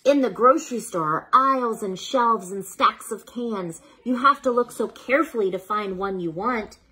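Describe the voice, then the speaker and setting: A woman reading a children's bedtime story aloud in an unhurried, expressive way, as if speaking to a child, with a slightly husky voice. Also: a little high, rough recording.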